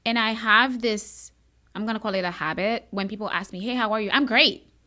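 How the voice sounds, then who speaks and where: A woman's voice: mid-range voice, fairly quick. A woman from Haiti hosting a podcast, talking candidly in a chatty, bubbly, conversational way. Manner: animated, chatty, self-described bubbly. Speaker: podcast host.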